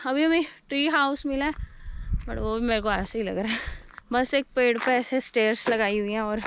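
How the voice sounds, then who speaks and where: A woman's voice: on the high side, a bit bright. A young woman chatting casually on a travel vlog, mixing in English words. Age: young adult. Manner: mixes English words into talk. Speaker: travel vlogger. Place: outdoors on trails and in a moving car. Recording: noisy outdoor and in-car action camera.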